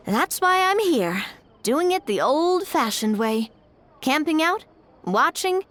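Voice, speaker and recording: A woman's voice: sits high, a bit bright, exaggerated voice. An actress playing an earnest, principled young woman in a video game, with a frank, conversational manner.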